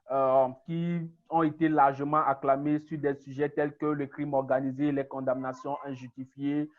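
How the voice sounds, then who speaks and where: Guy reading a formal intro for a webinar, bit high voice, muffled audio. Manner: formal, reads steadily. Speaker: webinar moderator.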